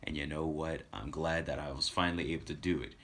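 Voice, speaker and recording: A man's voice: a bass voice, fairly even intonation, quick pace, a bit bright. A man chatting casually as a livestream host, rambling as he tells stories and talks to his viewers. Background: background music.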